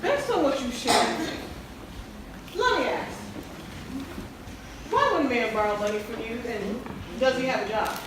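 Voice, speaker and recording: A woman's voice: a little high, lively intonation, a little slow, pauses often. A woman acting a composed, polite counselor who questions her clients in a stage play. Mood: questioning. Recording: very echoey stage, distant mic, noisy.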